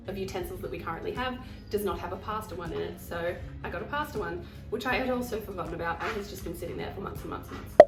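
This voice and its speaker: Woman, nasal from a cold, lowish voice, rambling chatty haul talk, roomy distant sound, music behind. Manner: chatty and rambling, self-deprecating. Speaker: vlogger. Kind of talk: casual haul vlog.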